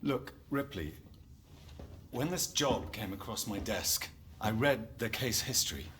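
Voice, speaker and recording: An actor playing a polite, persuasive company man who talks in a measured, quiet way. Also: on the low side, expressive. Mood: calm. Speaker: company representative.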